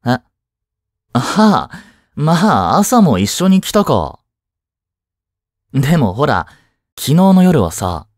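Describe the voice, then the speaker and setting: A man playing a blunt high school boy in a voice drama, a jealous childhood friend who speaks casually and gets flustered. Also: darkish tone, dry recording, clean studio sound. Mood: teasing, possessive. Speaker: voice drama actor. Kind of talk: Japanese audio drama acting for women listeners.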